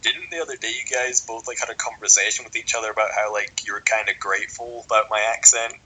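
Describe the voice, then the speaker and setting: A man's voice: mid-range voice, steady tone, quick pace, bright, forceful tone, a little hiss or hum, poor audio. A young man with a Scottish accent, joking and swearing in a casual podcast chat as co-host. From Scotland. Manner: joking, laughs often, swears freely.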